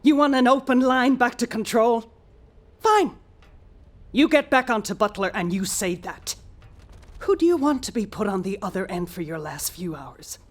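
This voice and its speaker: A woman's voice: fairly high pitch, lively intonation, normal speed. An actress playing a proud, guilt-ridden female space mission commander with a clipped, formal manner in an audio drama. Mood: anguished. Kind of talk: naturalistic audio drama acting.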